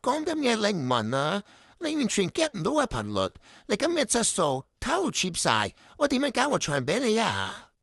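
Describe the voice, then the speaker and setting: A woman's voice: mid-range voice, huge pitch swings, normal speed, creaky, breathy edge, dry recording, clean studio sound, full character voice. A woman playing a dramatic, demanding boss with a pompous, theatrical manner in a comedic radio drama. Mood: scornful. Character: dramatic, demanding boss who talks in abstract riddles.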